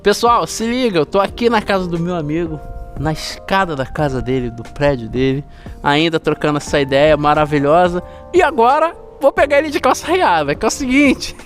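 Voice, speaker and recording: Upbeat Brazilian man, high voice. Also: animated, fairly quick, faint music behind. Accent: Brazilian Portuguese. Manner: upbeat, addresses viewers directly. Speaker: channel host. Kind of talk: casual chat with a friend. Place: stairwell of an apartment building.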